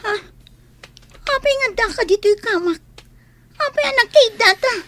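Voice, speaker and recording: An actress with an Ilocano accent playing an anxious, reluctant young student in a radio drama. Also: female voice, very high voice, animated, moderate pace, background noise. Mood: distressed, plaintive.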